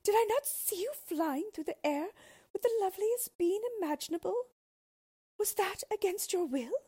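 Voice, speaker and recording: A woman's voice: very high voice, normal speed, pitched above the performer's own voice. A woman voicing a distraught young fairy-tale princess, proud and tender, in a wailing, melodramatic manner. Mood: indignant.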